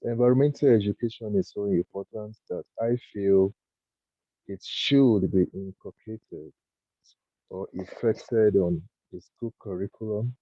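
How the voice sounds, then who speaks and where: Deep-voiced man, croaky, phone-like. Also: dry recording. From Nigeria. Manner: earnest, thoughtful, halting with fillers. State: croaky, just woken early. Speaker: guest speaker. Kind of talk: online panel talk, personal story. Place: home, early morning. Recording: dry, tinny phone-like call audio.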